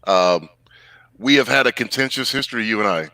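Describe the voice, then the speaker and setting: A man with a low, forceful voice talks bluntly in a casual online chat, often stalling on repeated words before landing his point. The sound is dry and close, with no room to it. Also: animated, a little fast, no echo.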